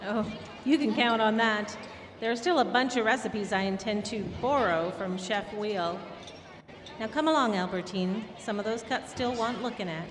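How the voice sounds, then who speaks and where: A woman playing a bossy, practical, teasing friend in an old-time radio drama, brisk and no-nonsense. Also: mid-range voice, music in the background, natural voice. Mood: brisk, cheerful. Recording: echoey hall with audience sounds.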